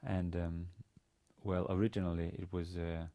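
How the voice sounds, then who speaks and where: Deep, gravelly Danish-accented man teaching. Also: flat delivery, warm tone. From Denmark. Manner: halting, hesitant delivery with repeated words. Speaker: university language teacher. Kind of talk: instructional lecture. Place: indoor studio with plain grey backdrop.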